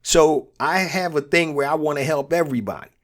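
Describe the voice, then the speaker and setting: A man's voice: a little high, fairly quick. A man with a cold, his nose stuffed and throat sore, hosting a motivational business podcast. He talks earnestly and repeats his key points for emphasis. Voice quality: congested. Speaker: podcast host and business coach.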